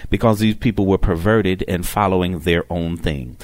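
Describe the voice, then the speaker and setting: A man preaching on a broadcast, teaching from scripture in a halting, deliberate way and often repeating his phrases. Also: sits low, dry recording. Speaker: preacher hosting a religious broadcast. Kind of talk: Bible teaching, unscripted explanation of scripture.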